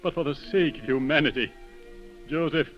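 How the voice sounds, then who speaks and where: A man's voice: on the high side, moderate pace, lo-fi sound, faint music behind, lightly acted. A man acting a weary, grave, idealistic inventor in a 1930s radio drama, on an old recording. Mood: weary, solemn.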